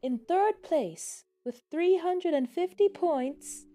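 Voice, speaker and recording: Woman as a loud, high-pitched event announcer. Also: expressive, takes it slowly, heavily acted. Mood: proclaiming. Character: brisk event announcer on an intercom.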